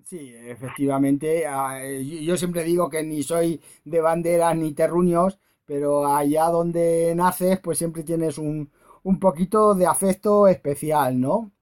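A man's voice: fairly high pitch, fairly even intonation, slow pace, a little mellow. A man hosting a radio show, interviewing a guest in a conversational, informal way.

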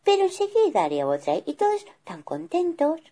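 A woman's voice: medium pitch, huge pitch swings, slow pace, slightly rough, pitched above the performer's own voice, exaggerated voice. A woman voicing a kind mama bear who coaxes and soothes. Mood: soothing. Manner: coaxing, gently firm.

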